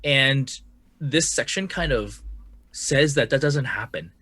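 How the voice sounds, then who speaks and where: Husky man with lively pitch. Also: slow, no echo. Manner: critical, emphatic, curses for emphasis. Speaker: podcast co-host. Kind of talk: casual panel discussion.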